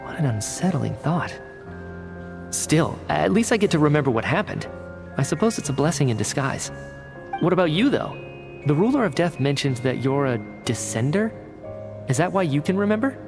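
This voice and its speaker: An actor playing an earnest, analytical researcher in a video game, articulate and inquisitive, reasoning methodically. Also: male voice, a little high, big pitch swings, normal speed. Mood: thoughtful. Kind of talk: video game dialogue acting. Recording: studio voice under clear game music. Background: background music.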